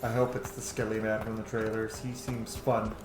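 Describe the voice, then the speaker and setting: A man's voice: lowish voice, level tone, moderate pace, roomy sound, noisy background. A man giving casual, informal let's-play commentary, talking to the game as he plays and swearing freely. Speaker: video game streamer, player. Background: game music.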